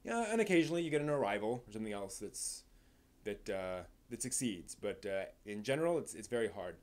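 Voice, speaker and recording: A man hosting a casual live stream, commenting on news in a rambling, halting way, often restarting his sentences and stressing points before trailing off. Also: lowish voice, big pitch swings.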